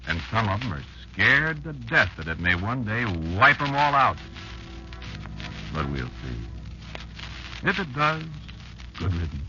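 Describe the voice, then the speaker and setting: A man playing a wary old insect elder in an old-time radio drama, telling a child grim survival tales in a confiding, folksy way with deliberate pauses. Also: fairly low pitch, sing-song, bright, forceful tone, full character voice. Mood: grim, scornful. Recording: dull lo-fi old radio recording. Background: prominent music.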